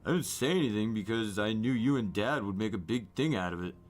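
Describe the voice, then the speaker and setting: A man's voice: fairly low pitch, animated, fairly quick, soft background music, close to an everyday voice. A man voicing a dismissive, secretive teenage older brother in storybook dialogue, blunt and offhand.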